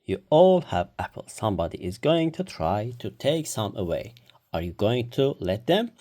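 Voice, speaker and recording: A reader voicing a cheerful, literal-minded housekeeper woman playing teacher in a children's storybook, with an emphatic, deliberate delivery. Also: mid-range voice, big pitch swings, dark tone. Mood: bright, instructing. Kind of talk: children's storybook read-aloud.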